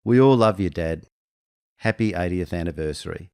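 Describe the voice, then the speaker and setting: A low-pitched man reads a prepared family tribute in a dry, close recording. He paces himself with care, setting out each item slowly and evenly. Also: darkish tone, smooth, no room sound. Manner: measured, listing slowly. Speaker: narrator, son paying tribute. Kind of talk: scripted narration.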